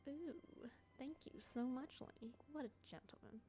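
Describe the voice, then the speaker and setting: A woman's voice: high voice, moderate pace, dark tone, soft background music. A woman giving casual, slangy, teasing reaction commentary while playing a video game. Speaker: game streamer.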